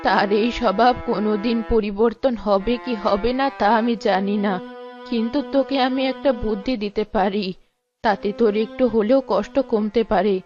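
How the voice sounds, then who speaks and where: A woman's voice: mid-range voice, fairly even intonation, normal speed, lightly acted. A woman playing a kindly old village woman in a cartoon, giving motherly, sympathetic advice. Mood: advising. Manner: motherly, advising. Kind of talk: cartoon dialogue acting. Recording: dull lo-fi sound, clear music behind.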